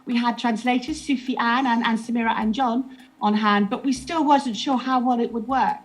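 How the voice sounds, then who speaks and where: A woman's voice: a little high, fairly even intonation, normal speed, faint music behind, rough recording. A woman in an interview, talking earnestly about training storytellers, often restarting her sentences. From England. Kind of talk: reflective interview answer.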